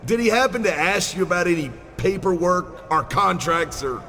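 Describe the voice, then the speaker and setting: A man's voice: high-pitched, animated, normal speed, pushed and bright, roomy sound, faint music behind, exaggerated voice. A man playing a smug, boastful rich wrestling champion in a video game, talking in a folksy, pompous, mock-modest way. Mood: smug, insinuating. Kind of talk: video game cutscene acting.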